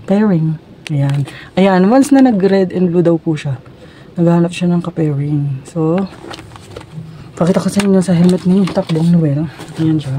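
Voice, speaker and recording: A woman's voice: on the low side, a little slow, pauses often, warm tone, slight background noise, poor audio. A Filipino woman chatting informally to her viewers in a casual unboxing and product demo vlog. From Philippines. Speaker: vlogger.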